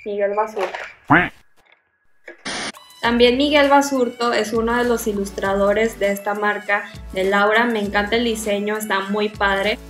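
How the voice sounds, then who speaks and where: A woman's voice: mid-range voice, steady tone, measured pace. A young woman with a Mexican accent talks to camera in a casual, enthusiastic way, reviewing makeup as a video host. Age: young adult. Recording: roomy echo, music behind. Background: music.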